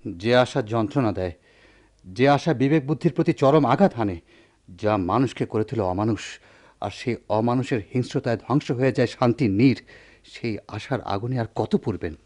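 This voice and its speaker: A man's voice: mid-range voice, moderate pace, darkish tone, lightly acted. A man playing an ailing, brooding village doctor in a radio drama, speaking in a weary, literary, declamatory manner with groans. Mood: earnest, bitter. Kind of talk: Bengali radio drama acting, poetic monologue.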